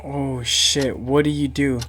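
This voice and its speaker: A man's voice: medium pitch, little pitch movement, slow, a bit bright, a little hiss or hum. A man hosting a reaction video with informal, slangy, casual commentary.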